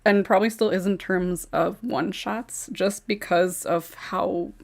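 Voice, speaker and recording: A woman's voice: medium pitch, fairly even intonation, a little slow, gravelly. A woman who writes ASMR scripts, chatting loosely on a podcast and joking along with the others. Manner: laughs often, loose and joking. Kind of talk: casual podcast chat.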